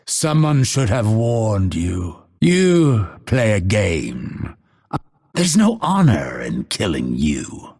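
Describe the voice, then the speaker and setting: Gravelly, disdainful assassin. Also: male voice, lowish voice, darkish tone, full character voice. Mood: scornful. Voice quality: husky, gravelly. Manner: solemn, haughty, measured theatrical delivery. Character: cold, proud, disdainful male assassin hunted since birth. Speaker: video game character voice actor. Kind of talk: theatrical game voice lines.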